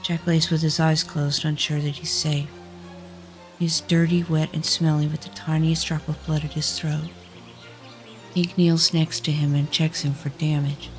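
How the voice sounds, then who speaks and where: A woman's voice: deep voice, little pitch movement, measured pace, a little mellow, faint music behind. A woman reading scripted fantasy narration as the narrator of an audio drama. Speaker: narrator and lead actor.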